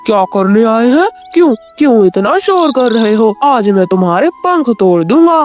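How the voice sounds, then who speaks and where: A woman's voice: on the high side, very animated, moderate pace, darkish tone, soft background music. A woman voicing a grumpy, bossy male crow neighbor in a children's cartoon, scolding and threatening. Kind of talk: cartoon voice acting.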